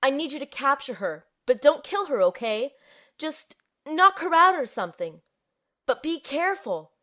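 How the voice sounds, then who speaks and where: Actress playing young female agent narrating her mission, earnest n expressive, highish voice that swoops a lot, audio drama. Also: moderate pace, exaggerated voice. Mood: urgent. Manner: expressive, storytelling. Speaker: narrator and lead character.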